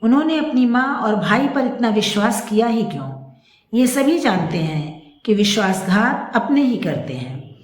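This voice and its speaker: Woman acting wronged young wife, grave, resolute, earnest, lively intonation, storybook drama, echoey room. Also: a little high, normal speed. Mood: resigned, firm.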